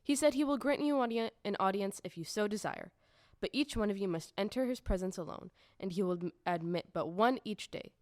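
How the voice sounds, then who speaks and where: An officious little gatekeeper of a magical city, played by a woman in an audio play. The speech is formal, ceremonious and emphatic, at a middling pitch in a dry recording. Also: lively intonation, a little fast, no room sound, natural voice. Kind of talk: stage-style audio drama acting.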